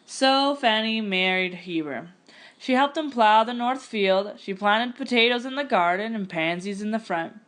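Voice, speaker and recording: A woman narrating a picture-book story aloud in an expressive, scripted storytelling style. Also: mid-range voice, unhurried.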